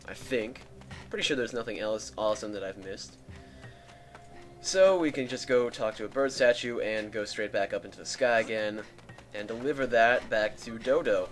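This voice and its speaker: Lets play guy rambling, raspy dry throat, bit of game music behind. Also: fairly low pitch. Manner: rambling, chatty. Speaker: let's play host. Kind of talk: casual gameplay commentary. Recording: home mic with static.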